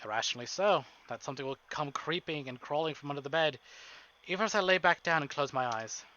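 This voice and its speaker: A man's voice: fairly high pitch, quick pace, pushed and bright, muffled recording. A man live-streaming a visual novel, reading it aloud dramatically with casual, joking, sweary asides, over music. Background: game music.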